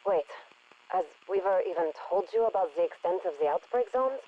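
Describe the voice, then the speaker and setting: An actress playing a rogue intelligence operative in a game audio log, speaking with dry deadpan humour and deliberate phrasing through a radio filter. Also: female voice, on the low side, lo-fi sound. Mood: serious, urgent. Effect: radio transmission filter. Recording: hissy radio transmission with static.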